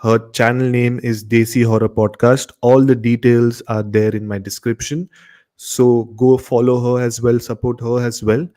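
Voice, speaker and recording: Mellow-voiced Indian man hosting. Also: medium pitch, level tone, moderate pace. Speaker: horror story show host. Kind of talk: casual show hosting addressed to listeners.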